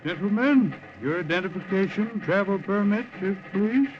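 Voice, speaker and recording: A man's voice: sits high, animated, normal speed. An officious yet polite train inspector. He speaks in 1950s radio drama manner on a dull vintage recording.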